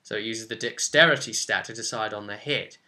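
A man's voice: on the low side, fairly quick, bright, forceful tone. A man in his twenties giving an informal explanatory tutorial on tabletop roleplaying, talking to camera at home. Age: 20s. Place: small furnished room at home, indoors.

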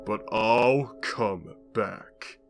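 A man's voice: fairly low pitch, drawn-out words, music in the background. A man voicing a smug, unhinged glitchy skeleton destroyer in a comic dub, with a drawling, mocking, theatrical delivery. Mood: amused, mocking.

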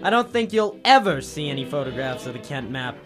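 Wisecracking detective sidekick from old radio show, slangy, animated, bit of music. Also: male voice, medium pitch, a little fast. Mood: teasing. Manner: slangy, wry. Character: wisecracking, brash male detective's legman and narrator. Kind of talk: 1950s radio drama acting.

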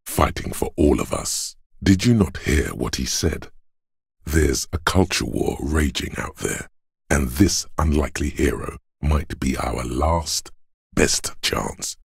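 A man voicing the cold, dry inner logic of a detective in a video game, measured and analytical, with a gravelly, husky delivery. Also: a bass voice, no echo, full character voice. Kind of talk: scripted video game voice acting, second-person narration.

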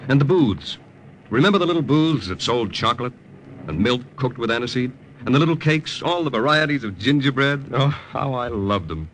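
A man's voice: mid-range voice, expressive, moderate pace, a bit bright, close to an everyday voice. A man acting an earnest young American lieutenant working undercover in a wartime radio drama. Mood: nostalgic. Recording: noisy old-time radio recording.

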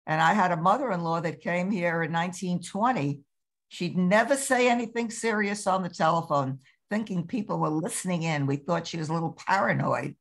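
A woman's voice: fairly low pitch, fairly quick, vocal fry. An older woman chatting on a video call, rambling from one thought to the next. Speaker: discussion participant. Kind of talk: casual chat on a video call.

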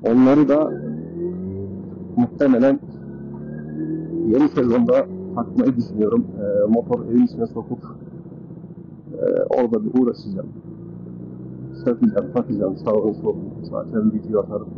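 A man's voice: mid-range voice, steady tone, normal speed, long pauses. A man vlogging casually from his motorcycle, chatting and muttering to himself as he rides through town. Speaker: motovlogger. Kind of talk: casual riding commentary. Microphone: AirPods earbuds under helmet. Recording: muffled earbud mic with loud road noise. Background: engine and traffic noise.

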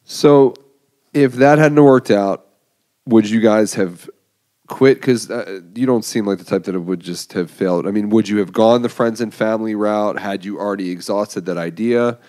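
A man's voice: fairly low pitch, normal speed, a little mellow. A male podcast host asking probing, curious questions. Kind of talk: interview questions.

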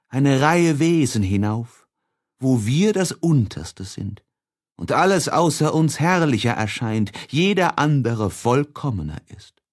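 A man reading literary prose as an audiobook narrator, expressively and with deliberate, measured phrasing. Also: medium pitch.

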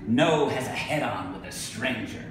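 A middle-aged man giving a live poetry reading, speaking deliberately with emphatic pauses. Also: medium pitch, animated. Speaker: poet. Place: indoor venue with a staircase, live reading. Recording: echoey room from a distance, noisy.

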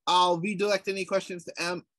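A man's voice: sits high, flat delivery, a little fast, fairly bright tone, no room sound. Hesitant American man, writing lead. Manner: hesitant, restarts a lot. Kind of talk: casual video call update.

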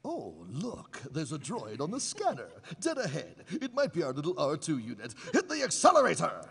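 Dumb hammy cartoon hero, high voice swooping all over, comedy table read. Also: male voice, wildly swooping pitch, normal speed, creaky, fairly bright tone, exaggerated voice. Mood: excited. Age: middle-aged. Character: bombastic, dim cartoon superhero playing a young farm boy. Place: convention panel stage with audience. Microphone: table microphone.

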